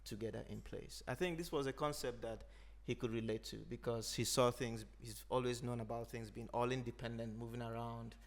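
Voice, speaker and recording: A man from Nigeria, an Igbo speaker, talking in a thoughtful, unhurried way as a podcast host. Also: mid-range voice, fairly even intonation. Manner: thoughtful, unhurried, frequent "you know". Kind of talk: reflective conversation.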